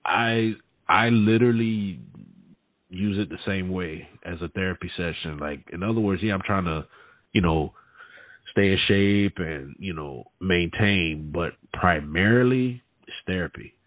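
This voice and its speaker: A man in his late thirties hosts a relaxed, slangy conversation. His voice is low and husky, and he often repeats his phrases. The sound is dry and a little muffled. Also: a little slow, dry recording. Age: late 30s. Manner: informal, slangy, repeats himself. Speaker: podcast host. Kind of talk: casual conversational chat.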